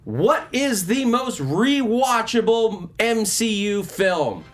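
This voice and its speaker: A man hosting a movie news talk show, discussing things casually with a panel in an animated, opinionated, joking way. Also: high-pitched, slow.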